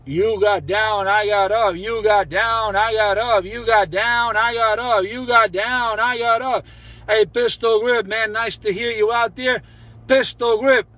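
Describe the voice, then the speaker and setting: A man with a very high voice banters on CB radio. He is boastful, chanting and repeating his phrases as he goes. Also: steady tone, unhurried, sharp tone. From New Jersey, United States. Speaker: CB radio operator.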